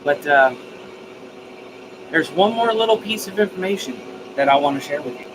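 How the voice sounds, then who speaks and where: A man's voice: fairly high pitch, expressive, normal speed. Enthusiastic man live streaming over background noise. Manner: folksy, informal, mildly profane; enthusiastic, effusive. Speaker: live stream host of a DIY radio channel. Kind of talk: casual live stream chat.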